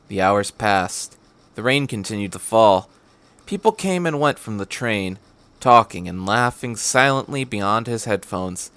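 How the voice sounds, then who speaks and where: A man's voice: fairly low pitch, a bit bright, no echo. A man hosting a podcast and reading fan fiction aloud as scripted narration.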